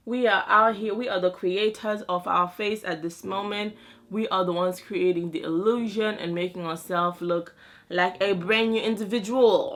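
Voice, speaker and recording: A woman's voice: medium pitch, pushed and bright. A young woman whose first language is French, chatting through a makeup tutorial in a sassy, playful way with slang asides. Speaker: beauty vlogger.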